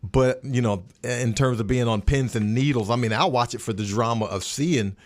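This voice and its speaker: Male sports analyst, medium pitch, conversational, plain-spoken, restates his points. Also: normal speed. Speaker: sports radio analyst on a roundtable.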